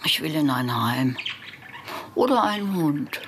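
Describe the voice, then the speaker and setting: Old mother, low voice, lively swooping intonation, plaintive, rambling, radio play, noisy background. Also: unhurried. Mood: querulous, rambling. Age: older. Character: ailing, complaining elderly mother of a grown son. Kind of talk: naturalistic radio drama acting.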